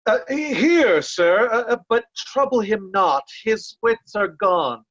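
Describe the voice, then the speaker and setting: A woman's voice: mid-range voice, big pitch swings, slow pace, muffled recording. A woman playing a loyal, pitying nobleman in a Shakespeare reading. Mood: concerned, soothing. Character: loyal, pitying nobleman Kent, gentle attendant. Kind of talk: remote reader's theatre Shakespeare.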